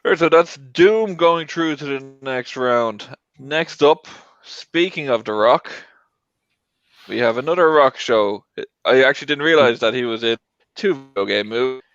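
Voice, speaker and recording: A man's voice: mid-range voice, a little slow, fairly bright tone, clean tone, dry recording. A man hosting a casual panel discussion, introducing items and chatting with guests. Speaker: host of movie debate show. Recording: dry, dull lo-fi audio.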